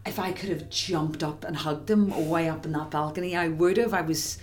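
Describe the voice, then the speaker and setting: An actress with an Irish accent, a woman, chatting excitedly in an interview with many quick restarts. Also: deep voice. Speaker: musical theatre actress, guest. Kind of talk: casual interview chat.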